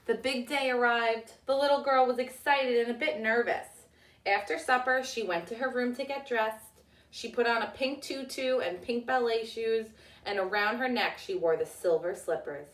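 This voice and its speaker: A woman narrating a children's storybook as a teacher reading aloud, with deliberate, expressive delivery. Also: fairly high pitch, far from the mic. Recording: echoey room, away from the mic.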